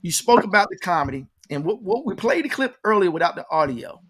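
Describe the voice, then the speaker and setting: High-voiced man hosting a casual interview. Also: sing-song, quick pace, a bit bright, slightly rough. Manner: repeats himself, addresses the audience directly. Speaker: interview show host.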